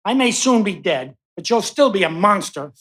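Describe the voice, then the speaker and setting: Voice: medium pitch, very animated, normal speed, a bit bright. An actor playing a desperate but defiant captive patient in a live radio play, with emphatic, protesting delivery.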